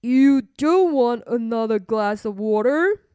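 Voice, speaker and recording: A woman's voice: on the high side, expressive, takes it slowly, heavy background noise. A woman voicing a patient but firm storybook king and father, emphatic and reassuring. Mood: coaxing.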